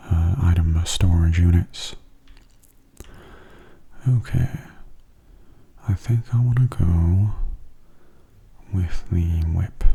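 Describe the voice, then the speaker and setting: A man speaks very softly in a low, creaky register, keeping his tone quite level. He murmurs gentle, unhurried game commentary in ASMR style, often dropping into a whisper. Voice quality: hushed, soft voice slipping into whispers. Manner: gentle, unhurried, casual musing. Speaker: gameplay video host.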